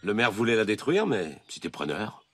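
An actor playing a calm, reassuring male villager in a cartoon. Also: on the low side, normal speed. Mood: matter-of-fact. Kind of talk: French cartoon dub acting.

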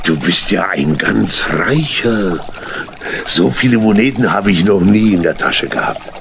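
An actor playing a sly, falsely chummy tramp in a children's audio play, with an oily, folksy drawl. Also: male voice, low voice, lively intonation, unhurried, creaky, background noise, full character voice. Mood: wheedling, crafty. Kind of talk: children's audio drama acting.

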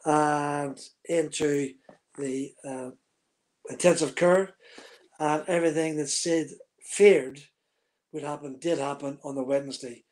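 Husky man telling a long story. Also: fairly high pitch, steady tone, takes it slowly, a little mellow. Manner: vivid, detailed storyteller, talks with his hands. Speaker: guest, former racing driver. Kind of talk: live video-call interview, storytelling. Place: room at home, indoors. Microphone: webcam on a video call.

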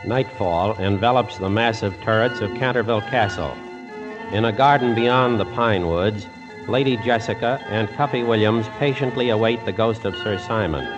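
A man's voice: on the low side, moderate pace, over music. A man giving scripted, dramatic narration in 1940s radio style. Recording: muffled old radio broadcast.

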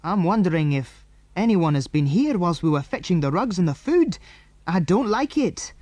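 A man voicing a bold, excitable boy in a storybook, giving urgent orders to his friends. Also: high voice, brisk, dry recording, voice pushed up, close to an everyday voice. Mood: uneasy, urgent. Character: bold, excitable boy leader of stranded children.

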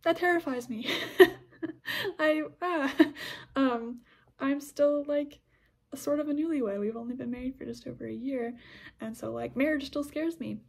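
A woman's voice: high-pitched, a little slow, vocal fry. A young woman in her twenties hosting a podcast, talking in a chatty, rambling way with many restarts. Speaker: podcast host. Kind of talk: casual scripture discussion podcast.

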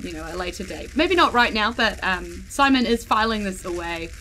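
A woman with a New Zealand accent and a bright voice talks casually as a tabletop player. Her pitch is middling, and faint noise sits behind her. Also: animated, normal speed, low-quality recording. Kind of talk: casual chat during a tabletop game.

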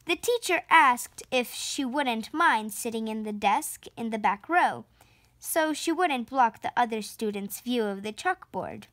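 A woman reading a children's storybook aloud in an expressive, deliberate narrating style. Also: fairly high pitch, no echo. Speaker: storybook reader.

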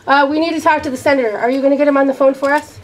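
A woman's voice: high voice, steady tone, rapid-fire. A woman activist speaking firmly and insistently, making protest demands as a group's spokesperson. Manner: firm, insistent. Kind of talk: confronting a politician, protest demands. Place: indoor office and conference room, camera mic. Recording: roomy, faint background noise.

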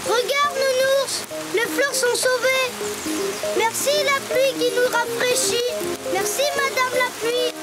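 A woman's voice: extremely high pitch, fairly even intonation, takes it slowly, music in the background. A cheerful little donkey boy. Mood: delighted. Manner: childlike, chatty, talks to his teddy. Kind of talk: cartoon voice acting.

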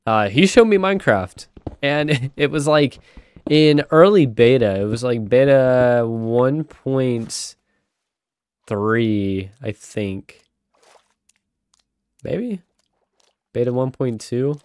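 A man's voice: mid-range voice, takes it slowly, pauses often, smooth, no room sound. A young man with a stuffy, nasal voice from a cold, rambling casually through gameplay commentary. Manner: rambling, chatty, with sudden excited outbursts. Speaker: gaming channel host.

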